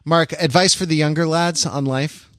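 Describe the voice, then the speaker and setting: A man's voice: fairly high pitch, fairly even intonation, normal speed, fairly bright tone, dry recording, clean studio sound. A man co-hosting a podcast in casual chat, with a jokey, rambling way of talking. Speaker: podcast co-host. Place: remote recording on a video call.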